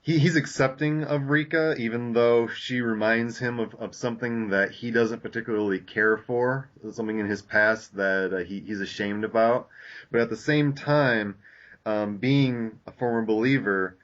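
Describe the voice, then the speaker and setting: A young man hosting a casual podcast discussion, talking haltingly with many fillers and restarts. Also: medium pitch, fairly even intonation, fairly bright tone. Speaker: podcast host. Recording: lo-fi dull audio.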